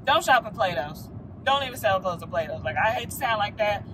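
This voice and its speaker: Expressive young American woman vlogger, faint background noise. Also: medium pitch, brisk. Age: late twenties. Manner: informal, expressive storytelling. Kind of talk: casual vlog chat to viewers. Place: small furnished room, later parked car.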